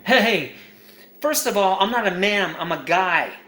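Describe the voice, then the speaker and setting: A man with a high voice who gives a comedic retro game review in an excitable, emphatic, jokey way. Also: a little slow.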